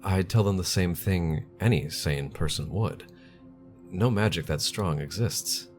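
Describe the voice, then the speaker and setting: An actor playing an arrogant male corporate scientist in an audio drama interview, speaking in a measured, formal, condescending way. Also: sits low, faint music behind. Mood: assured, condescending.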